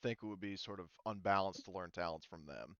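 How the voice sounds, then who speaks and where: A man's voice: sits low, fairly quick, smooth, dry recording, dull audio. A man talking informally as game master, discussing rules with his group and telling stories with casual swearing. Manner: informal, swearing, animated storytelling. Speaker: game master running a group planning session.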